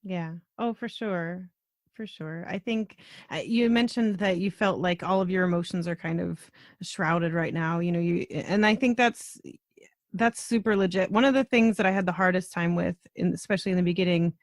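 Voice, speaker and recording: A woman's voice: fairly low pitch, normal speed, no echo, clean studio sound. A woman hosting a podcast, speaking in a reflective, conversational way with frequent fillers. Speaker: podcast host.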